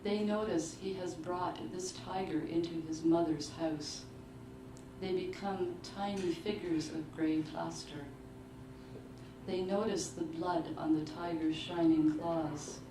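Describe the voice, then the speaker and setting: A woman giving a measured, deliberate literary reading of short fiction at a live book launch. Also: on the low side, steady tone. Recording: roomy, distant mic, clearly noisy live venue.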